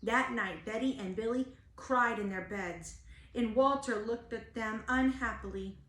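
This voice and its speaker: A woman's voice: fairly high pitch, unhurried, fairly bright tone. A woman reading a children's storybook aloud like a teacher, expressively stressing key words. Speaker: teacher reading a picture book. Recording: roomy, echoing home-style recording.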